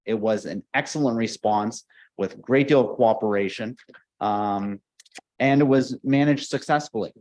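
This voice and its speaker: A man's voice: fairly low pitch, measured pace, darkish tone, no echo, lo-fi sound. A man, apparently the fire chief, reporting to council in an earnest, upbeat way and often repeating phrases. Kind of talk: staff report at council meeting. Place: council chamber.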